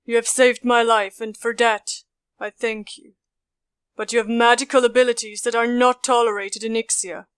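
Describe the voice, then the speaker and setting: A woman voices a duty-bound military commander in a fan audiobook. The tone is formal and flat, with smooth, clean diction. It sounds stern and measured in a dry recording. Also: on the high side, no room sound, lightly acted.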